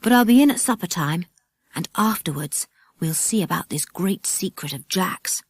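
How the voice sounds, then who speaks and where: A woman's voice: fairly low pitch, lively intonation, moderate pace, husky, no room sound. A woman voicing a protective, downtrodden but determined young boy in a children's audiobook, with a serious manner. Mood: planning.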